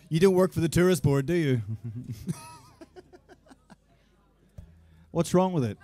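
A man's voice: on the high side, dark tone. A man in his thirties, a band frontman, joking with the audience between songs on a small club stage, speaking into a stand mic. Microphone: vocal mic on a stand.

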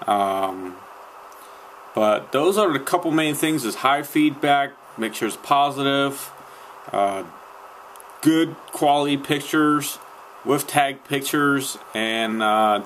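A man's voice: mid-range voice, a bit bright, a little hiss or hum. A young man vlogging casually to a webcam in a small room at night, rambling with many fillers and restarts. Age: young adult. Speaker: sneaker vlogger. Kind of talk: casual vlog talk to camera. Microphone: webcam at close range.

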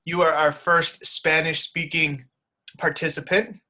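A man's voice: fairly high pitch, flat delivery, a little slow, sharp tone. A man in his thirties hosting a group video call from his home office, upbeat and welcoming. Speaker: host.

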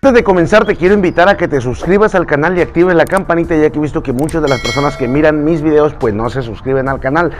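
A man's voice: on the high side, faint music behind. Chatty Mexican man talking to camera. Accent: Mexican Spanish. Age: 30s. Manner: chatty and earnest, gesturing with his hands, pausing to check notes. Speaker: YouTuber and voice impressionist. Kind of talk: casual talk to camera. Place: outdoors in a recreational park, standing against a pale wall.

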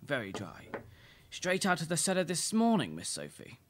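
A woman's voice: deep voice, wildly swooping pitch, normal speed, slight background noise. A woman acting a deferential, formal male butler in an amateur radio play, repeating himself obligingly. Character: James, deferential elderly male English butler.

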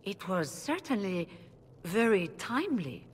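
An actress playing a proud, weary middle-aged elven mage leader in a video game, formal, grave and defensive. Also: female voice, mid-range voice, very animated, measured pace. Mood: uneasy. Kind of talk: video game cutscene acting. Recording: hall reverb, faint background noise.

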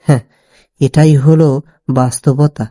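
A man acts out a downhearted young villager from a cartoon folk tale. His voice is fairly high and soft-edged, with an earnest, plaintive way of talking. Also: steady tone, a little slow, close to an everyday voice. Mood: dejected. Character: Rafiq, dejected educated jobless village young man.

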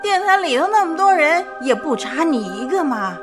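A blunt middle-aged mother scolds in a radio drama. Her voice is high and very animated, scornful and colloquial, with music behind it. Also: normal speed. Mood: urging. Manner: scolding, colloquial, rhetorical questions.

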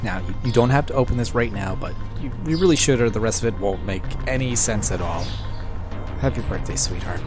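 An actor playing a controlling boyfriend in an audio drama, sweetness over menace. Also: male voice, on the low side, fairly quick, close to an everyday voice. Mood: syrupy, coaxing. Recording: loud music under the voice.

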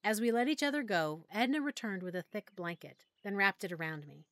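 A woman narrating a first-person audiobook story in a measured, emotional way that sometimes drops to a hush. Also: medium pitch, lively intonation, no room sound, studio quality. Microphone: close studio mic.

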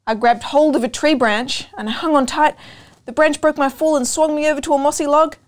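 A young adult woman reading a children's storybook aloud, expressive and deliberate, pausing to put questions to listeners. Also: sits high. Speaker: storytime reader. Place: indoor room with couch and bookshelves.

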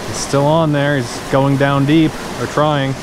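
A woman narrating casually to viewers while fishing a mountain creek, chatty and breaking into excited exclamations, recorded on a point-of-view action camera outdoors. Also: rumbling low. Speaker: fishing vlogger. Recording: loud rushing creek noise. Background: rushing stream water.

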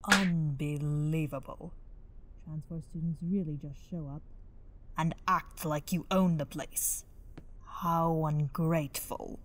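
A woman's voice: deep voice, steady tone, slow, slight background noise. A woman voicing a stern, haughty older head sister who scolds strictly, in an anime fan dub.

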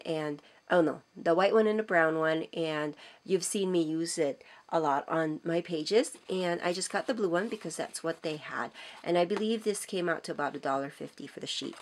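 A hoarse middle-aged woman with a cold, chatting casually and enthusiastically as she shows off craft supplies, with frequent ums and self-corrections. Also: fairly low pitch. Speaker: craft vlogger. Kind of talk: casual show-and-tell haul. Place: indoors at a craft table.

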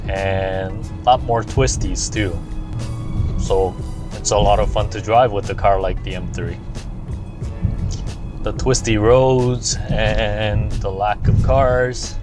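A man narrating a travel vlog as a casual, laid-back voice-over. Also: fairly low pitch. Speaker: vlog host narrating a road trip. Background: music.

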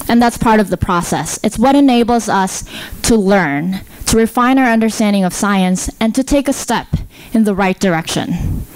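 A woman in her thirties from South Korea and the Philippines, a research scientist giving a prepared talk into a handheld microphone, explaining in a measured way with rhetorical questions. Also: mid-range voice, darkish tone, no room sound, rough recording. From born in South Korea, grew up in the Philippines. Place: indoor stage.

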